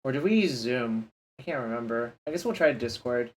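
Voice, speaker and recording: A man's voice: mid-range voice, animated, a little fast, rough recording. A man streaming a card game, talking casually to his chat and grumbling under his breath over his plays. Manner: frustrated, muttering to himself and chat.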